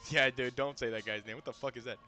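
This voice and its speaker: A man streaming a game, talking casually with loud, profane, excitable outbursts. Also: medium pitch, quick pace, soft background music. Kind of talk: casual live gameplay commentary.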